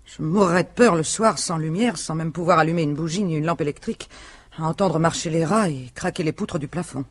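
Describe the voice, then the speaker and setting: An actress playing a devoted, anxious wife drawn into a scheme in a vintage French radio mystery, speaking in an earnest, dramatic way. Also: female voice, sits low, brisk, husky, no echo. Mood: devoted, fearful. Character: devoted, anxious wife, reluctant accomplice in a hoax.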